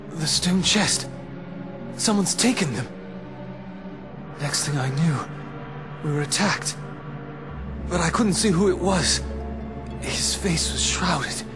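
Weary young swordsman for a game: higher-toned, earnest, halting, over a clear musical score. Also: over music. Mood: weary, troubled. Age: young adult. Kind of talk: video game cutscene acting.

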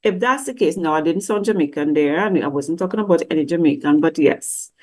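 A woman's voice: deep voice, brisk, darkish tone. A middle-aged woman, teaching about grief through a webcam in a conversational, questioning way. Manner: conversational, asks many questions, acts out quoted voices. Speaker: grief counselor teaching at a church service. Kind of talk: teaching talk with slides. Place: indoor room. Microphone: webcam on a video call.

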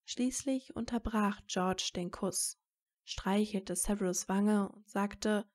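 Woman narrating a story, medium pitch. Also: slow pace, darkish tone.

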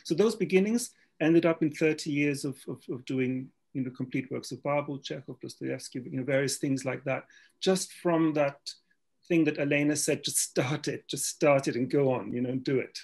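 A man with a gravelly, husky voice whose first language is German talks over a video call. He speaks hesitantly, circling back and repeating words as he gathers his thoughts. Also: fairly high pitch, moderate pace, darkish tone. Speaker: panelist translator. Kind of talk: panel discussion on video call.

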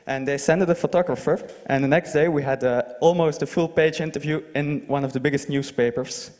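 A young man with a Flemish accent gives an informal, halting talk to an audience on a handheld microphone. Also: fairly high pitch, fairly even intonation, darkish tone. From Flanders, Belgium. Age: young adult. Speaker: conference speaker. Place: large lecture hall, indoors. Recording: long hall reverb from PA.

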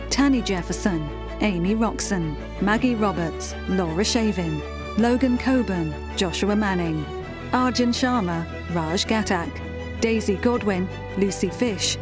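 Unhurried female announcer over music. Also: medium pitch. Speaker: credits announcer. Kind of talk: scripted credits announcement. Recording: music playing behind the voice. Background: instrumental music with strings.

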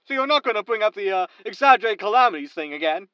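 An actor playing a brash, excitable tabloid radio announcer in a science fiction audio sitcom, with a loud, high voice. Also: male voice, quick pace, no echo, highs cut off. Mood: grudging.

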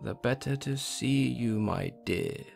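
A man voicing a crafty, hungry wolf who speaks in a slow, coaxing drawl. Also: mid-range voice, creaky, darkish tone, faint music behind. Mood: menacing. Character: sly hungry male wolf, crafty and smooth.

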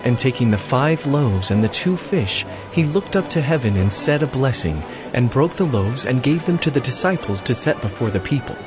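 A man of medium pitch narrates a scripted scripture story. A clear music bed plays behind him. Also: lively intonation, normal speed, hardly any pauses.

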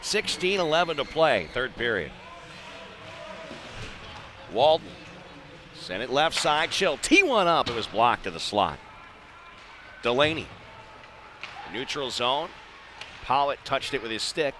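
A man's voice: mid-range voice, slow pace, pauses often. Male hockey play-by-play man over rink noise. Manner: excitable on big plays, clipped phrases. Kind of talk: live radio-style ice hockey play-by-play commentary. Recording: live arena broadcast with background noise.

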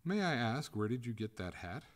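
Storybook lady ghost male reader, grand and beseeching, formal diction, highly animated intonation. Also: normal speed, close to an everyday voice. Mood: coolly polite. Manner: formal, melodramatic, imploring. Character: female water ghost, haughty lady turning to desperate pleading.

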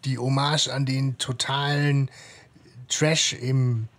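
A man's voice: medium pitch, flat delivery, slow pace, fairly bright tone. A man co-hosting a podcast, talking through his opinions in a casual discussion. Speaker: podcast co-host. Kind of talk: casual discussion of an audio drama.

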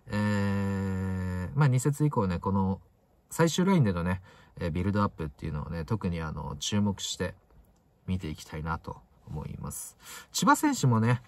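A young man with a low voice chats casually about football as a solo vlogger. His intonation swings widely, pushing the start of each phrase and letting the end drop away. The sound is close and dry, recorded inside a parked car. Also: dry recording.

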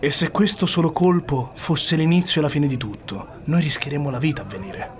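An actor playing a tormented, ambitious noble warrior in an Italian radio drama, solemn and theatrical. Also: male voice, fairly high pitch, expressive, brisk, natural voice. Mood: brooding, conflicted.

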